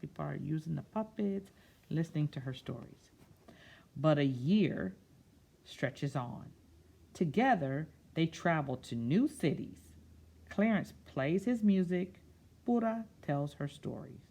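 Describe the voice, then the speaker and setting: A woman's voice: low-pitched, lively intonation, takes it slowly, vocal fry, dark tone, husky. A woman reading a picture book aloud to children, drawing out phrases expressively and breaking off into simple, casual explanations. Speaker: children's storyteller.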